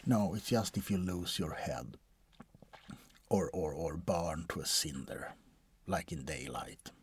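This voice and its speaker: A man playing a bored, world-weary, centuries-old vampire doctor in an ASMR roleplay, husky and breathy, often slipping into a whisper, with a deadpan, hesitant delivery. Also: sits low, slow pace, slight background noise. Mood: bored, dry.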